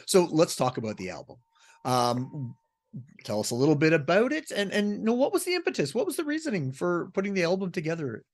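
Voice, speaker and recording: A man's voice: fairly high pitch, very animated, brisk. A man hosting a radio interview show, introducing and questioning his guest.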